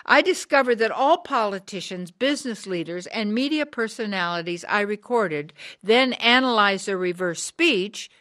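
A woman's voice: mid-range voice, expressive, normal speed, few pauses, a bit bright, natural voice. A woman playing a brilliant, hard, driven sixty-year-old activist in a radio drama, speaking in earnest declarations. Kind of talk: scripted radio drama acting.

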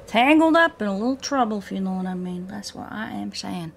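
A woman's voice: medium pitch, expressive, a little fast, gravelly, slight background noise. A woman livestreamer with a Southern US accent, joking playfully in a folksy drawl as she comments on a game. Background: game sounds.

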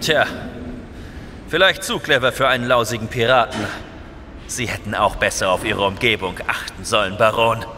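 Cocky space commander, reverberant. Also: male voice, on the low side, moderate pace, sharp tone. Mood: cool, cocky. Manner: wry, self-assured. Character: heroic male space commander, cool, cocky, unflappable. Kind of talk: German sci-fi audio drama acting. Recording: long reverb with music behind.

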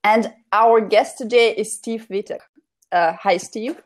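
A woman's voice: a little high, a little slow. Young woman, host, video call, earphones, friendly, filler words. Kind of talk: talk show hosting.

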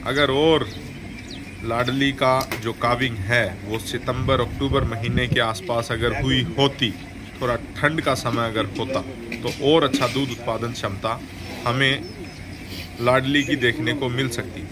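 A man narrating a voice-over over dairy milking footage, formal and measured, often pausing to rephrase. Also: medium pitch, fairly even intonation, a crowd in the background. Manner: formal, measured, with frequent hesitations. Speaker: dairy farming video host.

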